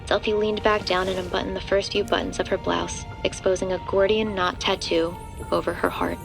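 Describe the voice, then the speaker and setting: Casting: female narrator, medium pitch, scripted horror-drama storytelling, prominent music bed. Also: little pitch movement, brisk, gravelly. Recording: music playing underneath.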